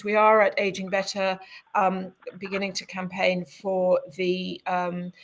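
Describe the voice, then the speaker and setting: A woman hosting a webinar panel over a video call, her voice going croaky, talking haltingly with many fillers. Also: mid-range voice. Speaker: webinar host.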